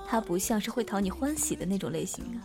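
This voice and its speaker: A woman's voice: mid-range voice, animated, fast, over music, natural voice. An actress playing a flirtatious, worldly woman restaurateur in an audio drama, with a playful, knowing delivery. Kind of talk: Mandarin audio drama acting.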